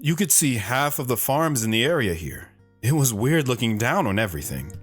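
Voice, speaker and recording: A man playing a shy, hesitant wanderer who narrates his own story in an audio drama, reflective in tone, with soft guitar underneath. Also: medium pitch, sing-song, moderate pace, lightly acted. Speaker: narrator and guest.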